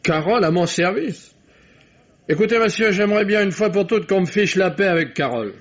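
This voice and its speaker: An actor playing a gruff, weary small-town veterinarian with a husky, creaky voice, in 1950s French radio drama. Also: male voice, high voice, animated, normal speed. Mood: irritated. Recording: dull, band-limited vintage radio recording.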